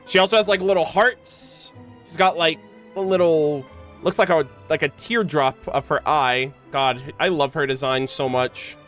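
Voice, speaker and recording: A young woman commenting casually on a game livestream, with an excitable, jokey, informal way of talking. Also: low voice, unhurried. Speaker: streamer. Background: game music.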